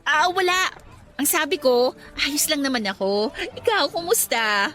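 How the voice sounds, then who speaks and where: A bubbly, lovestruck student girl, voiced by a woman, in a radio drama. Her high voice swings widely from word to word, and soft music runs underneath. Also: unhurried, exaggerated voice. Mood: sweet. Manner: animated, defensive, bubbly. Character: cheerful lovestruck young female student.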